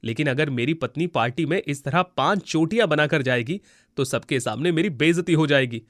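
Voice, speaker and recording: A status-conscious young suitor from a cartoon moral tale, voiced by a man. His voice sits a little high and his manner is dismissive and matter-of-fact. The recording is dry. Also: fairly quick, a bit bright, dry recording, lightly acted. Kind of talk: Hindi moral story cartoon acting.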